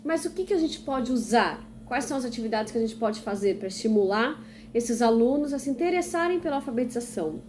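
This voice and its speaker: A young woman from Brazil walks through a classroom activity step by step as a teacher trainee, asking and answering her own questions. Her voice sits fairly high but soft and mellow. A faint hum of the room runs behind her. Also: lively intonation, a little fast. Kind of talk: instructional demonstration video.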